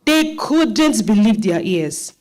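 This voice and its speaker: A woman's voice: medium pitch, very animated, takes it slowly, a little mellow. A woman with a Ghanaian accent reading scripted narration as the narrator of a dramatised stage reading.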